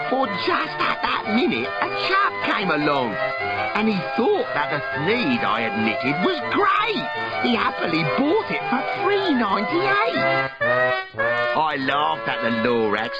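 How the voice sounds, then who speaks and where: A man narrating a rhyming storybook in a dramatic, expressive, theatrical way. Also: high voice, measured pace. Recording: dull lo-fi recording with loud music.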